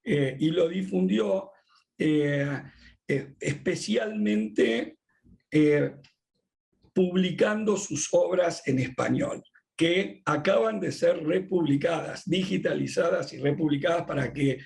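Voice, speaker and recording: A middle-aged Argentine man, a rabbi speaking as a podcast guest, who talks in a measured way with frequent drawn-out "eh" pauses. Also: fairly high pitch, vocal fry, a little mellow. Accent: Argentine Spanish. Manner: measured, many drawn-out "eh" fillers. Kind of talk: thoughtful conversational interview.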